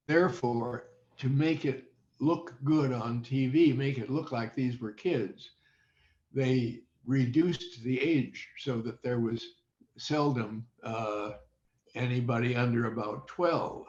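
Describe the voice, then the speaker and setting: Slow-talking older man, echoey sound. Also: mid-range voice, darkish tone. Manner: halting, deliberate. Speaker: interview guest, former child radio quiz contestant. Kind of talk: reminiscing in an interview. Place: remote video call. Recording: dull, echoey video-call audio.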